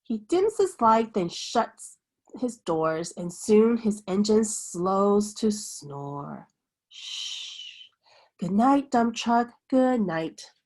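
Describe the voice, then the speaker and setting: A woman reading a children's picture book aloud like a teacher, with an expressive, sing-song bedtime delivery. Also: medium pitch, extremely slow.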